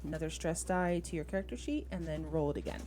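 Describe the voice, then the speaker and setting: A woman's voice: low voice, fast talker, dark tone. A woman acting as game master in a tabletop roleplaying game, casually talking through rules and thinking aloud. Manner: thinks aloud while checking rules and rolls. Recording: voice over background music. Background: music.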